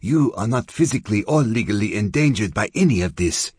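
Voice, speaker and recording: A man's voice: fairly low pitch, animated, fairly quick, husky, no room sound, studio quality, voice pushed up. A man as a tense, precise boy. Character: tense, earnest boy with huge eyes.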